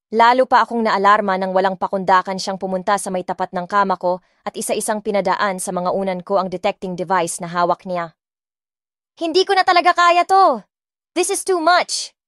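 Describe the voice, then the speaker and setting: A woman's voice: mid-range voice, fairly bright tone, no echo, clean studio sound. A woman from the Philippines reading a first-person romance audiobook, dramatic and indignant, switching between Tagalog and English. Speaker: audiobook narrator.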